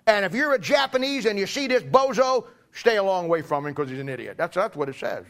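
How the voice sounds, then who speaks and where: Male preacher, middle-aged, high voice, folksy and emphatic sermon storytelling with repeated phrases. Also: expressive, a little fast, fairly bright tone. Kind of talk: sermon with anecdotes.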